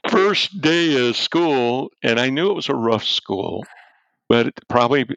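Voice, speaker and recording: An older man, a former football coach, telling a story loudly and animatedly as an interview guest and chuckling as he goes. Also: medium pitch, expressive, measured pace, gravelly, dry recording. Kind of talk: storytelling in a podcast-style interview. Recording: dull, dry lo-fi audio.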